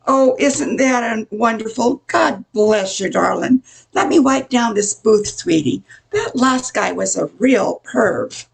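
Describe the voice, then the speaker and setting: A woman's voice: on the high side, animated, slow, creaky, breathy edge, heavily acted. An older woman acting a blunt, folksy, exasperated diner waitress in a comic radio play. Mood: sweetly fussing. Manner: folksy, weary, curt.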